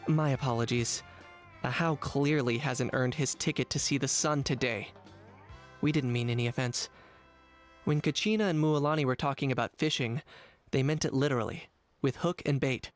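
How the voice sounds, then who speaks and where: A man voicing a deadpan polite young man. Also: mid-range voice, moderate pace, soft background music, close to an everyday voice. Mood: dry.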